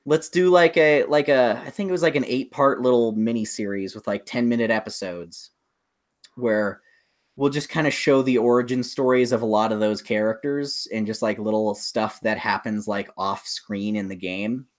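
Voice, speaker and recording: Male podcast co-host, medium pitch, conversational, eager and rambling explainer. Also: moderate pace. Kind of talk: casual chat about films and games.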